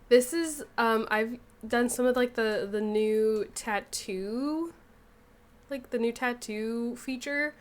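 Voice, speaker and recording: A young woman giving rambling, casual gameplay commentary, a bit nasal and still getting over a cold. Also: medium pitch. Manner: rambling, chatty, lots of fillers. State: recovering from a cold, slightly nasal. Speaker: gaming YouTuber.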